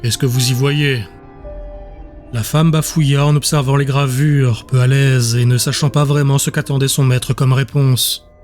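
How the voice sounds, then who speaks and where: A man narrating a dramatic audiobook in a measured, literary storytelling manner. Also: mid-range voice, little pitch movement, darkish tone, soft background music.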